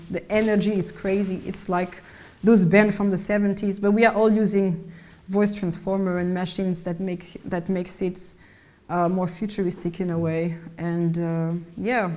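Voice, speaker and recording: A woman with a naturally low voice talks casually about her creative work in a panel setting. She speaks in an easygoing way and often stops and restarts her words. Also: darkish tone. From Mali and France. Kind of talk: casual conversational talk.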